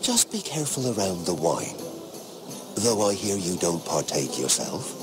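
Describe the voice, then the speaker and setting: A man playing a chatty, teasing nobleman who flatters freely in a dramatic video game. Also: deep voice, a little slow, over music, exaggerated voice. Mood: smoothly sociable.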